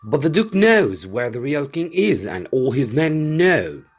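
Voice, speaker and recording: Anxious gentleman, put on by a man reading, storybook, raised pitch, animated, agitated, doubtful. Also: measured pace. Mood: protesting, worried. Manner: agitated, protesting, questioning. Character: anxious, doubtful gentleman reluctantly posing as king.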